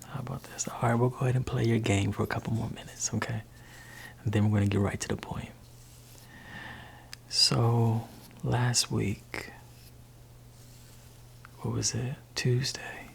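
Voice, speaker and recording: Man doing a laid-back teasing dad, gravelly, slow, soft-spoken, slips into whispers, ASMR roleplay. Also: slight background noise. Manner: soft-spoken, shifting between low voice and whisper. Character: laid-back gentle father talking to his teenage child. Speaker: ASMR creator.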